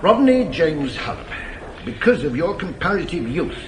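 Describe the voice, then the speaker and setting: An actor playing a pompous, stern magistrate who speaks in a formal, measured way in a 1980s British radio drama. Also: male voice, a little high, big pitch swings. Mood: solemn, official. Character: pompous, stern but lenient male magistrate. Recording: faintly noisy old radio tape.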